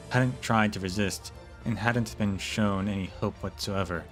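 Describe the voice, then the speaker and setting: A man reads a game's story to his stream, relaxed and conversational, breaking off now and then for a quick aside. His voice sits low and even. Soft music plays faintly behind him. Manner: relaxed, with chatty asides and small restarts. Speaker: streamer narrating a game. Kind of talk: casual story read-aloud to a stream.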